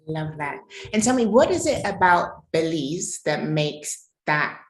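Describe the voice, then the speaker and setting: A woman's voice: low-pitched, lively intonation, measured pace, roomy sound. A woman hosting an interview over a video call, effusive and admiring as she asks her questions. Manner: effusive, admiring, repeats praise. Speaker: interviewer, host.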